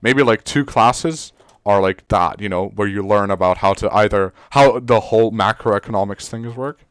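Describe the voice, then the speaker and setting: A teenage boy from Serbia, speaking English as a second language, talks loosely on a podcast. His voice is lowish and smooth, full of fillers, false starts and casual swearing. The sound is dry and close. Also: moderate pace, no echo. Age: teens. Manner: rambling, many fillers and restarts, swears casually. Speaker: podcast co-host. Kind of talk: casual chat.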